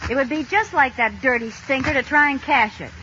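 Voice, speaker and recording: High-voiced scheming American housewife. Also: female voice, a little fast, pushed and bright. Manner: exaggerated, theatrical. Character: scheming, wheedling American housewife in radio sitcom. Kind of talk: 1950s radio comedy acting. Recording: muffled, noisy old radio recording.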